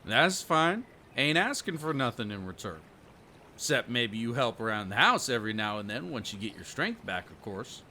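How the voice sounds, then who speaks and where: A man's voice: medium pitch, very animated, normal speed, bright, forceful tone, a little hiss or hum, heavily acted. A man playing a kindly, folksy country fisherman in an audio roleplay, with rustic phrasing and a hospitable manner. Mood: hearty, helpful. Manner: folksy rural phrasing, dropped g's.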